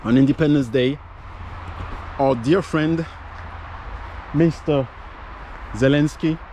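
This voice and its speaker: A man's voice: a little high, slow, warm tone, low-quality recording. Rambling male commentator, traffic noise behind. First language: not English. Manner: conversational, frequent fillers and restarts. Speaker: political commentary host. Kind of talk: casual commentary to camera.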